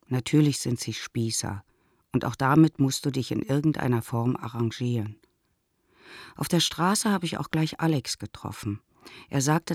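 A woman's voice: extremely low pitch, dry recording, clean studio sound, full character voice. Practical, admonishing middle-aged mother. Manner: measured, matter-of-fact, gently lecturing. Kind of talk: radio play letter reading.

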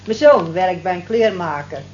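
A woman's voice: lowish voice, lively intonation, moderate pace, a little mellow, close to an everyday voice. An actress in a radio play playing a weary, down-to-earth night cleaning woman who grumbles and sighs in an earthy way. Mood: wry. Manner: earthy, complaining, sighing. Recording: echoey, dull, hissy old radio recording.

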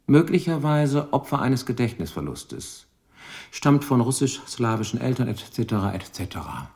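An actor playing a shrewd, dogged police lieutenant in a radio crime drama, probing deliberately with dry irony. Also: male voice, medium pitch, sing-song, creaky, a little mellow.

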